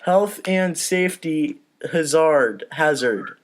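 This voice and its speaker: A man playing a pushy bank official in a comedy script read, stiff and halting as if reciting from notes. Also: fairly high pitch, expressive, pitched above the performer's own voice. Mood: officious, stern. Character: pushy male bank official threatening to foreclose.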